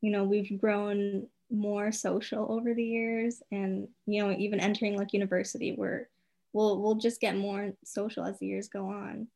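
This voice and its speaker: A woman's voice: mid-range voice, flat delivery, moderate pace, vocal fry, dark tone. A talkative young woman chatting casually, full of "like" and "you know". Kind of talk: casual chat.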